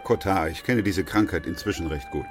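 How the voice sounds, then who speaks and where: A male actor plays a sober doctor and chronicler in a literary radio drama. His voice sits exceptionally deep. He narrates in a composed, measured way, as a man recording grim events. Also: soft background music, close to an everyday voice. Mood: calm.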